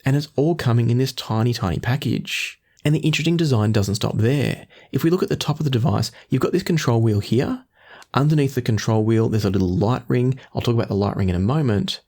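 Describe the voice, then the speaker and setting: A man's voice: medium pitch, fairly quick, darkish tone. A man presenting a product review, explaining the gear in a conversational, walkthrough way.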